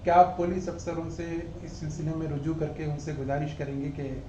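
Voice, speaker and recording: A man's voice: fairly high pitch, steady tone, normal speed. A man putting formal, respectful questions as a news interviewer. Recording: echoey, dull, noisy room recording.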